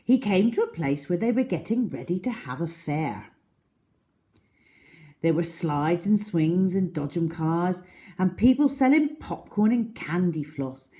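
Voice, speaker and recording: A woman reads a storybook to children with plenty of drama. She takes her time and varies her pitch widely, giving a deliberate, expressive narration.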